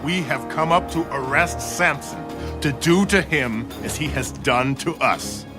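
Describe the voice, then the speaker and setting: A man playing an angry, accusing ancient soldier in a dramatised scripture reading, forceful and deliberate. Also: on the high side, close to an everyday voice. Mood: menacing. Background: music.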